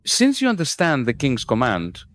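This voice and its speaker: A man's voice: mid-range voice, huge pitch swings, moderate pace, a bit bright, slight background noise. A man playing a haughty, impatient royal envoy in an anime dub, with a taunting delivery. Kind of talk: dubbed anime voice acting.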